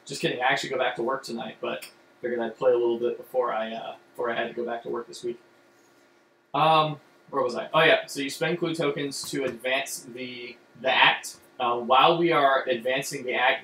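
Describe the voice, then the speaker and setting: A man's voice: medium pitch, fairly even intonation, a little fast, pushed and bright. A man streaming from home, casually explaining a card game and rambling with many fillers and restarts. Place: room at home. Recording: echoey room with faint background hum.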